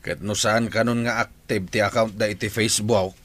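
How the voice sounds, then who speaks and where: Man acting low-voiced Ilocano farmer's son. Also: steady tone, normal speed, fairly bright tone. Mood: concerned, questioning. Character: concerned grown son of farming family. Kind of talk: Ilocano radio drama acting.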